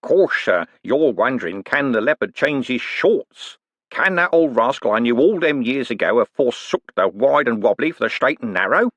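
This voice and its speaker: A man's voice: mid-range voice, expressive, normal speed, a bit bright, no echo, pitched above the performer's own voice. A man voicing a sly older male con man who poses as a preacher, wheedling and mock-pious with folksy slang.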